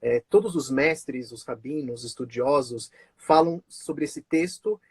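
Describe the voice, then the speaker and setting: Husky-voiced man hosting a live chat. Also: mid-range voice, a little slow, a little mellow, no room sound. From born in Israel, raised in Brazil. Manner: chatty, many fillers and restarts, laughs often. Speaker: host of a live stream. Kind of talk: casual live-stream conversation.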